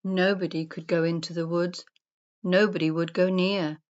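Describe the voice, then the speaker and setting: A woman narrating a rhyming children's story in a deliberate, sing-song storybook manner. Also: on the low side, little pitch movement, a little mellow. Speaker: story reader. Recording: dull, muffled lo-fi sound.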